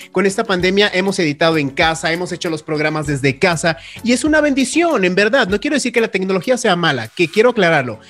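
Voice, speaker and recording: High-voiced man hosting radio talk. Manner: reflective, earnest, many restarts. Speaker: radio host. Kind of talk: casual radio panel chat.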